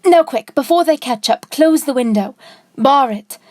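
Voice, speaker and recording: A wilful, carefree little boy acted by a woman with a fairly high voice. He talks bluntly and impulsively, with boyish cockiness, in a radio play. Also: lively intonation, normal speed, a little mellow. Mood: urgent, scheming. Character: cocky, carefree, wilful little boy who refuses to grow up. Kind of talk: audio drama acting.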